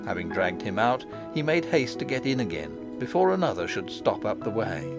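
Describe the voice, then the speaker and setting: A man's voice: lowish voice, big pitch swings, normal speed, loud music behind the voice. A man reading a children's fantasy novel as scripted audiobook narration. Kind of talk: scripted storybook narration with character voices.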